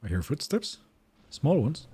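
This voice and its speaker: A man's voice: mid-range voice, very animated, measured pace, dark tone, slightly rough. A man with a Swedish accent, Finnish by first language, streaming a game and chatting casually, thinking aloud and answering his viewers. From Sweden. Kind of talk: casual live-stream chat while gaming.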